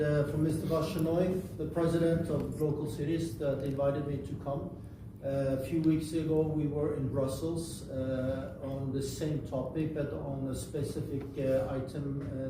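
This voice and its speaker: Man giving an earnest speech in an echoey hall. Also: fairly high pitch. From Bangladesh. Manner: earnest, measured, with pauses and small restarts. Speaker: invited speaker, political party leader. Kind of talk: formal conference speech. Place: large conference room. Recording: echoey room, distant mic, noisy.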